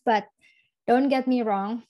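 A woman's voice: fairly high pitch, fairly even intonation, slow, muffled recording. A young woman from Indonesia, a university student, talking earnestly on a video call with plenty of ums. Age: young adult. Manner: earnest, conversational, frequent ums. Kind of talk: online info session talk.

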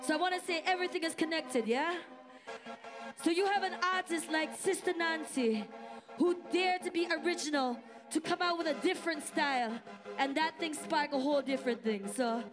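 A woman's voice: high-pitched, a little fast. A woman from Singapore, an opening MC and rapper, hyping a live crowd on a club stage through a handheld mic. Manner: energetic, call-and-response with the audience. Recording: live concert PA with loud music. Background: loud music.

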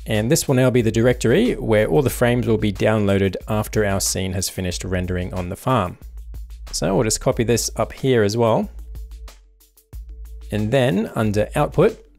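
A man with a gravelly, fry-edged voice talks through a software workflow one step at a time. His delivery is calm and unhurried, a tutorial voice-over with faint music underneath. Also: fairly low pitch. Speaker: tutorial host. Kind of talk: screen-recorded software tutorial.

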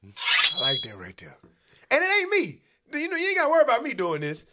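Animated man preaching commentary. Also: extremely high pitch, brisk, very noisy. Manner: animated, preacherly, calls for verses. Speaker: host and Bible teacher. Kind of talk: religious commentary show. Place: small podcast studio. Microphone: boom-arm studio mic.

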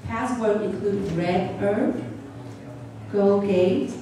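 A woman introduces a performer as event announcer, speaking very slowly. She is heard from far off in a highly reverberant hall with clear background noise. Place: large public hall.

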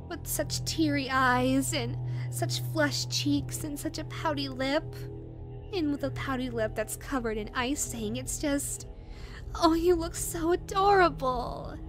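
A woman playing an obsessive, possessive yandere in a rabbit costume in an audio roleplay, cooing in a drawn-out way that swings from syrupy to threatening. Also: sits high. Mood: doting. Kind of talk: dramatic audio roleplay addressed to the listener.

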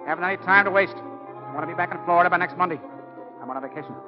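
A man plays an affable, restless middle-aged coach from a 1940 radio play. He talks fast in a slightly high voice, on a dull old recording. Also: over music, lightly acted. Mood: breezy. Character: genial, restless middle-aged football coach and devoted husband. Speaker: radio actor. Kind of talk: 1940s radio drama acting.

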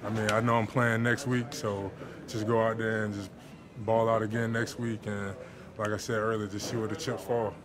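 A young football player speaks into reporters' handheld mics in a locker room. His tone is relaxed and easygoing, and the talk is filled with casual fillers. A crowd chatters clearly behind him. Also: fairly low pitch, normal speed. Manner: relaxed, laid-back, with filler words and light laughs. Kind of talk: post-game locker room interview. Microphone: handheld reporter microphones.

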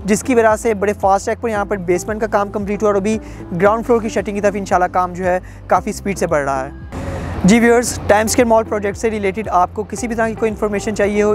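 A young man with a Pakistani Urdu accent, a real estate agent giving a confident, persuasive sales pitch to camera outdoors at a construction site on a lapel mic. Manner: confident, persuasive salesman tone with frequent filler phrases.

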